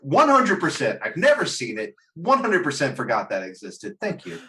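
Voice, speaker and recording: A man hosting a casual chat show, talking in a halting, joking way with the odd mild swear. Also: a little high, huge pitch swings, sharp tone. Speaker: host.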